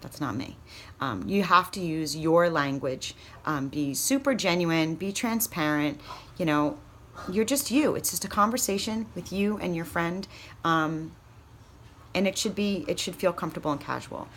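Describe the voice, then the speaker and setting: A woman's voice: on the low side, normal speed, fairly bright tone, slight background noise. A woman giving an informal training talk in a chatty, candid, encouraging and emphatic way, like a coach talking to new consultants. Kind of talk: informal training talk with acted sample pitches.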